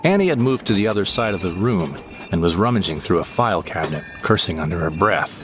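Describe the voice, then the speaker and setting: Male narrator, deep and wry, first-person storytelling over audible noise. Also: animated, fast talker.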